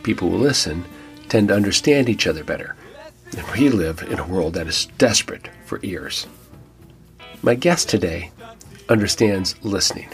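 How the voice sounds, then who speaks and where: A man's voice: deep voice, expressive, moderate pace, faint music behind. A man hosting a church podcast, telling a guest's story in scripted narration with a reflective, lightly joking manner. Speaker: podcast host and church pastor.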